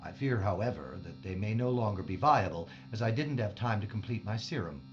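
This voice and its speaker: An actor playing a fanatical, grandiose mad scientist villain who monologues theatrically in a science fiction audio drama, with a dull recording. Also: male voice, on the low side, lively intonation, a little fast, faint music behind, lightly acted. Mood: grandiose, fervent. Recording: dull band-limited sound.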